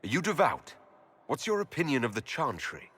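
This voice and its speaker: A man's voice: medium pitch, huge pitch swings, moderate pace, bright, forceful tone, breathy edge, heavily acted. A man playing a guarded, direct fantasy hero in a video game, questioning in a formal manner. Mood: guarded, inquiring. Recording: music under the voice.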